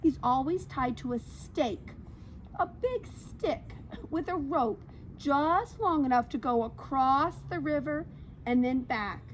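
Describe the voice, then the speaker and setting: Woman as a kindly old storytelling cat, high-voiced. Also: animated, slow, dull audio, a little hiss or hum. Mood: earnest, sympathetic. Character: kindly old female cat telling of a captive dragon.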